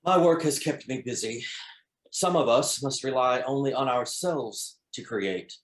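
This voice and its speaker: In a reverberant room, a sardonic, petulant gentleman speaks in a period drama, played by a man. His mid-range voice is formal, dry in wit and cutting. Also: medium pitch, a little slow, natural voice. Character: David, sardonic, petulant brother-in-law. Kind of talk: screenplay table read, period literary drama.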